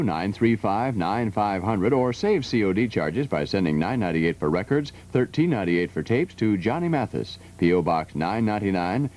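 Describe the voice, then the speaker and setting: Animated, low-voiced male ad announcer. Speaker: commercial announcer. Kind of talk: scripted TV ad read.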